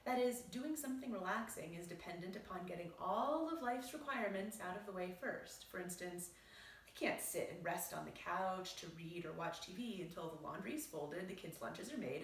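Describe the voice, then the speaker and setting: Gravelly woman reading aloud in a roomy space. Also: mid-range voice, recorded from a distance, a little hiss or hum. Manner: measured, explanatory reading. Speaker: physician and author. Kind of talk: reading aloud from her own book. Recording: roomy echo, voice set back from the mic.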